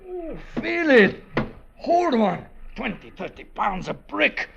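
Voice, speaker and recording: An actor in a 1950s radio drama playing a greedy, excitable, squeamish castaway with formal, stammering speech. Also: male voice, very high voice, slow pace, creaky, exaggerated voice. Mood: excited, indignant. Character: greedy, excitable, squeamish castaway man, bossy when rich. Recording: dull, faintly noisy vintage radio recording.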